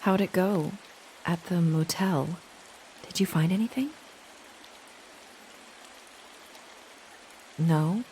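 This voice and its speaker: A woman playing a soft-spoken, hesitant young woman in a dark fantasy video game, gentle and tentative. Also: on the low side, measured pace, lightly acted, poor audio. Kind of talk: dramatic video game acting. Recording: faint rain noise behind the voice.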